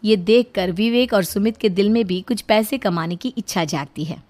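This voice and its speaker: A woman narrating a cartoon story in a steady, plain storytelling manner. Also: medium pitch, moderate pace.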